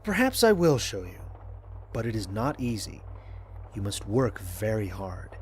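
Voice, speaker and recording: Gentle, thoughtful astronaut played by a man, faint noise. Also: fairly low pitch, sing-song, measured pace. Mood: gentle, amused. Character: male astronaut explorer, gentle, patient, thoughtful. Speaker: narrator. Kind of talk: audio drama acting, mission log narration.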